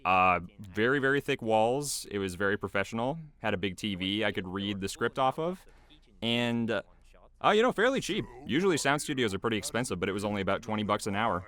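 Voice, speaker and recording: A man's voice: fairly low pitch, animated, brisk, a bit bright. A man giving casual behind-the-scenes commentary as a video creator, rambling loosely in a small homemade booth.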